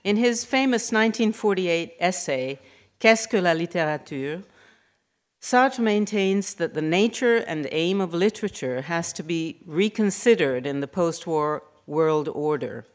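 Woman, middle-aged, deep voice, formal prepared lecture, measured delivery. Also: animated. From Hungary. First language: Hungarian. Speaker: writer and academic speaker.

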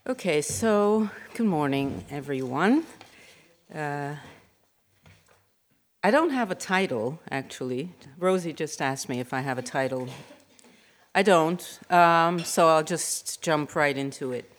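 A middle-aged woman, a writer and academic reading a prepared lecture in a measured, formal way. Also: low-pitched, lively intonation. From Hungary. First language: Hungarian.

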